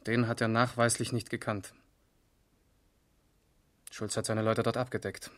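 A man's voice: mid-range voice, flat delivery, unhurried, a bit bright, lightly acted. An actor playing a matter-of-fact, precise male police investigator in a radio crime drama. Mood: sober, reporting. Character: sober, methodical male East German police investigator. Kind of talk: 1970s German radio crime drama acting.

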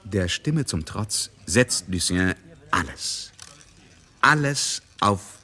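An actor narrating a radio drama in a theatrical, suspenseful and emphatic style. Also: male voice, sits low, expressive, very slow, bright, forceful tone, heavily acted. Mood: tense, suspenseful. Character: dramatic male storyteller following gamblers' fortunes. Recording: radio drama with crowd behind.

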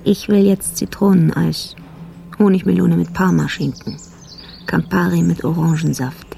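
A weary, obsessive detective muses in a radio drama. He speaks slowly in short statements, with a mellow, creaky voice. Faint noise and birdsong sit behind him. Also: sits high, fairly even intonation, full character voice. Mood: exhausted, craving. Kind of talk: radio drama interior monologue.

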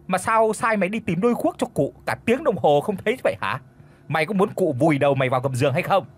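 A man voicing a cranky, foul-mouthed old village chief who shouts curses. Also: sing-song, a little fast, slightly rough, full character voice. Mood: furious. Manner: shouting curses in a high strained voice.

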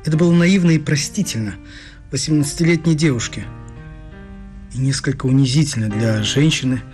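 A man's voice: on the high side, fairly quick, a little mellow, lightly acted. An actor playing a blunt, persistent man in a radio play, with a cool, pointed and condescending delivery. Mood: cutting. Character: blunt, persistent Israeli man confronting an older woman. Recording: guitar music under the voice. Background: plucked guitar music.

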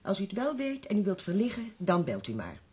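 An actress in a radio drama playing a polite, attentive young carer. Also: female voice, medium pitch, wildly swooping pitch, a little fast, a little mellow, slightly rough. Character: attentive, polite young female nurse or maid.

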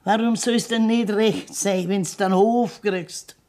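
A woman's voice: medium pitch, steady tone, takes it slowly, dark tone, lightly acted. An actress playing a stern, pragmatic old farm grandmother with a Bavarian accent in a dialect radio drama, blunt and scolding. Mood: matter-of-fact, insistent. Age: older.

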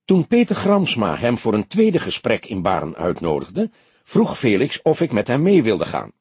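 A man voicing a woman civil servant under questioning in an audiobook, soft, careful and halting, defending herself. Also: lowish voice, huge pitch swings, slightly rough, no echo, exaggerated voice. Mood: cautious. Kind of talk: audiobook character dialogue.

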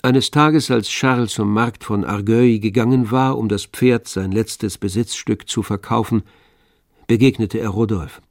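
A man narrating a tragic novel for a radio play in a measured, literary manner. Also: on the low side, steady tone. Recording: dry studio with faint music.

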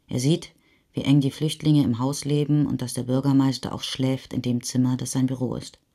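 An actress playing the sober, measured narrator of a post-war chronicle in a German radio play. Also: female voice, a bass voice, flat delivery, dark tone. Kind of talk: literary reading in a radio play.

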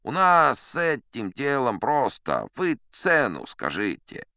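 A man's voice: medium pitch, wildly swooping pitch, takes it slowly, sharp tone, no room sound, clean studio sound, voice pushed up, heavily acted. A shrewd, smug merchant from an adventure story, acted by a male narrator. His voice sits raised and slides around melodically, clean and insinuating as he coaxes his listener toward a bargain. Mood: cajoling.

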